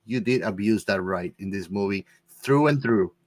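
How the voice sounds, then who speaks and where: Male podcast co-host, fairly low voice, informal film discussion. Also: moderate pace. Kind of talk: casual movie discussion.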